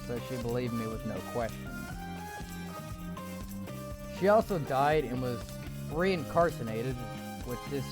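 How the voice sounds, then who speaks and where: A man's voice: mid-range voice, low-quality recording. A man reading a visual novel aloud, adding casual asides and stumbling over words. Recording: game music playing under voice.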